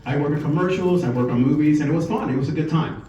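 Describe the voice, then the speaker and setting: A man in his forties from Puerto Rico gives an informal presentation, telling a personal story in a conversational, halting way. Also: on the high side, warm tone, distant mic. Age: 40s. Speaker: speaker at a company sales meeting. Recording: echoey room from afar, muffled, faint noise.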